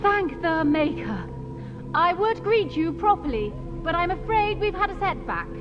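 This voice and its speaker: A woman's voice: very high-pitched, big pitch swings, a little slow, heavily acted. An actress voicing a regal, gracious captive noblewoman in a fantasy video game, formal and refined in her speech. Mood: relieved, urgent. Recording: muffled, heavily reverberant, music behind.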